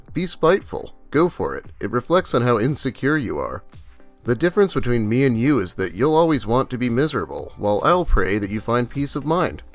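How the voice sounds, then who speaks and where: A man's voice: mid-range voice, dry recording, natural voice. A man reading out a sanctimonious, condescending spurned male friend for a comedy video. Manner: preachy, condescending.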